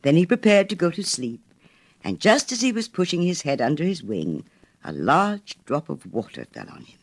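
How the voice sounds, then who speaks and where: A woman reads a storybook as a dramatic narrator. Her delivery is theatrical and expressive, and her pitch moves in wide, animated sweeps. The register sits low for a woman. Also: moderate pace, breathy edge. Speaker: audiobook narrator.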